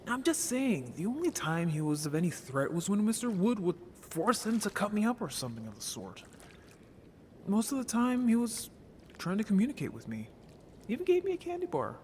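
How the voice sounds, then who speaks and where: A man's voice: high-pitched, huge pitch swings, fairly quick, darkish tone, slight background noise. A man voicing a naive, curious young merfolk in an audio drama, talking casually and expressively with earnest cheek. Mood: defensive, indignant. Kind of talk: scripted audio drama roleplay.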